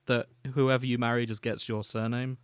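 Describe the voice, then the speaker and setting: A man's voice: medium pitch, level tone, normal speed, smooth, dry recording. A man co-hosting a podcast, chatting casually about fanfiction and reading passages aloud. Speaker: podcast co-host.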